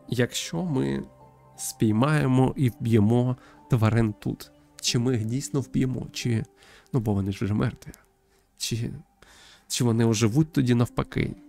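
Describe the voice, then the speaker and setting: A man in his thirties from Ukraine, thoughtfully and haltingly commenting on a visual novel as he livestreams on a close mic. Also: medium pitch, gravelly, a little mellow. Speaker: game streamer. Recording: music behind the voice. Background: background game music.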